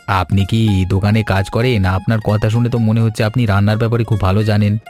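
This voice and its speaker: A man playing a curious, courteous young man in a cartoon, with an inquisitive, slightly halting way of talking. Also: lowish voice, flat delivery, a little mellow, natural voice. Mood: curious, polite. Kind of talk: cartoon voice acting. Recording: music under the voice.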